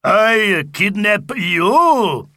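Man doing a loud, slow, muddled Viking brute. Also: sits high, lively intonation, dry recording, clean studio sound, pitched above the performer's own voice, exaggerated voice. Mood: boastful. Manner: loud slow broken speech. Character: big scruffy male Viking warrior, proud, muddles words.

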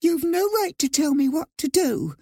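A prim little mouse housewife, acted out by a male narrator, pitched extremely high. She is fretful and indignant, pleading nervously, with a swooping, storybook delivery. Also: sing-song, measured pace, creaky, warm tone, no room sound, studio quality, heavily acted. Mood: nervously firm.

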